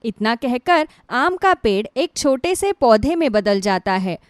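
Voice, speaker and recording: Female narrator for scripted children's moral stories: high pitch, dry studio sound. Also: sing-song, measured pace, dry recording. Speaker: storyteller.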